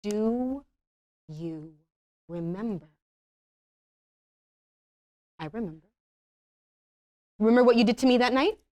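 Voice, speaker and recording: Woman as a fierce, accusing woman, dramatically animated. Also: on the high side, unhurried. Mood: bitter, intense. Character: fierce, wounded early-fifties woman confronting her lover. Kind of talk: comedy script table read.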